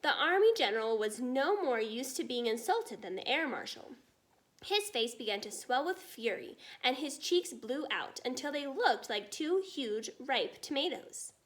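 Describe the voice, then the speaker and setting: A woman reads a children's story aloud as narrator. Her voice is high, and her scripted delivery is expressive, with lively movement in pitch. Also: unhurried. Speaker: storybook reader.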